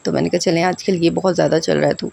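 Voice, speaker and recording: A woman's voice: on the low side, steady tone, very fast, gravelly, mellow, a little hiss or hum. A woman in her thirties with a sore throat, chatting to viewers in a rambling, direct way as she gives family advice at home. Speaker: vlogger giving family advice. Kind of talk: casual advice vlog.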